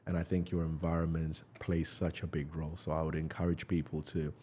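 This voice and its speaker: A man's voice: very deep voice, level tone, a little fast, warm tone, slight background noise. A man hosting a podcast, speaking in an encouraging, motivational way and often agreeing with short "yeah"s. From United Kingdom. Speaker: podcast host. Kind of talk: casual interview talk.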